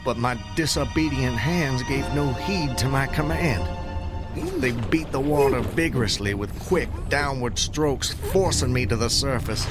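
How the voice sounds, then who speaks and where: A man's voice: mid-range voice, lightly acted. Condemned man played by a male actor, mid-range voice, solemn and intense, formal storyteller delivery for radio drama, strong music underneath. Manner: formal, deliberate, vividly descriptive. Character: condemned man recounting his hanging and escape, solemn, intense. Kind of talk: radio drama acting, literary first-person storytelling. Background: background music.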